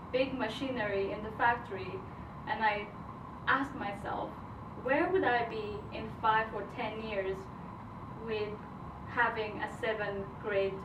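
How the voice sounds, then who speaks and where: A woman in her twenties from Afghanistan, telling her personal story in a halting speech, pausing mid-phrase. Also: fairly high pitch, dull audio. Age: 20s. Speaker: grant recipient giving a speech. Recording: roomy, distant mic, noisy event hall.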